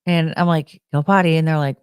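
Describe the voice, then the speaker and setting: Low-pitched American woman, dry close sound. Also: steady tone, a little fast, a little mellow, clear, resonant tone, dry recording. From United States. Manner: unfiltered, swears casually. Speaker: live stream host. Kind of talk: casual rambling live stream chat.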